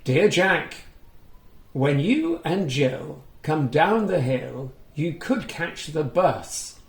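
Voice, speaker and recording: A man voicing Mother Goose, a wise old woman giving brisk, helpful advice in an emphatic, instructive storybook delivery. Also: on the high side, sing-song, roomy sound, exaggerated voice.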